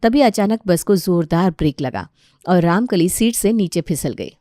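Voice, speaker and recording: A woman's voice: on the low side, animated, normal speed, warm tone, no room sound, clean studio sound. A woman reading scripted narration of a comic family story as its narrator.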